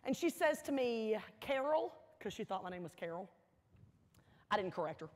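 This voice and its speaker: A woman giving a motivational speech, telling a personal story deliberately, with dramatic pauses and emphatic repetition. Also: medium pitch, very animated. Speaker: keynote speaker. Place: large hall, speaking to an audience. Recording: echoey hall, long reverb.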